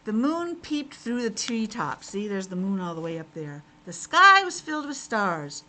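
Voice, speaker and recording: A woman's voice: mid-range voice, sing-song, normal speed, bright, forceful tone. A woman teacher reading a picture book aloud to young children, breaking off for simple conversational asides. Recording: clearly noisy background.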